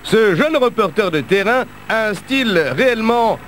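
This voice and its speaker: Un homme narre un bêtisier avec ironie, la voix haute et pleine de relief. L'enregistrement est chargé de bruit ambiant. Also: male voice, sits high, animated, normal speed. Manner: mock-serious, ironic. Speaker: narrator of a blooper show. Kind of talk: jokey voice-over narration. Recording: loud background noise.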